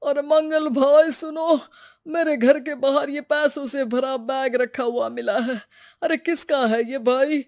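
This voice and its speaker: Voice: sits high, steady tone, measured pace, darkish tone, dry recording, studio quality. A performer voicing an anxious, helpless elderly village father, plaintive and colloquial, in a cartoon moral story. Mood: astonished.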